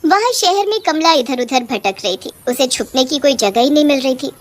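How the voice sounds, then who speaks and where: A woman's voice: high-pitched, animated, moderate pace. A woman reading scripted narration for a cartoon horror story as the storyteller.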